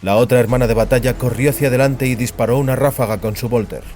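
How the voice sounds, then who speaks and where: Un hombre que narra un relato dramático con guion, como narrador de audioficción. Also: male voice, mid-range voice, fairly even intonation, fast talker, darkish tone, slight background noise. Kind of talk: scripted dramatic story narration.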